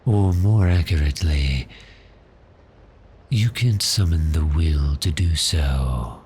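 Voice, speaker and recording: Very deep, unhurried male vampire, acted by a man. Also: slight background noise. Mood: polite, hypnotic menace. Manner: courteous, unhurried, deliberate, softly menacing. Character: polite, cheerful, menacing male vampire toying with prey. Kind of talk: slow ASMR roleplay acting.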